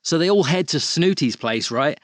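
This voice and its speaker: Man playing a suspicious, higher-voiced storybook conspiracy theorist. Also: animated, normal speed, no room sound, lightly acted. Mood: grudging. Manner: insistent, dramatic, rhetorical questions. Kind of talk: audio drama acting.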